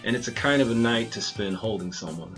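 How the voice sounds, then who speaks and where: A man's voice: lowish voice, a little fast. A man playing a terse, wisecracking loner detective at a bar in a hardboiled comedy audio drama. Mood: wry, weary. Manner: deadpan, terse. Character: jaded, wisecracking male loner detective drinking at speakeasy. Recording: music bed under the voice.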